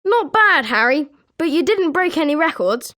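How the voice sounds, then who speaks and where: A woman's voice: high-pitched, very animated, moderate pace, sharp tone, full character voice. A woman with a British accent voicing an eager, sporting schoolboy wizard with chirpy, emphatic delivery in a video game. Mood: consoling. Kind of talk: scripted video game character lines.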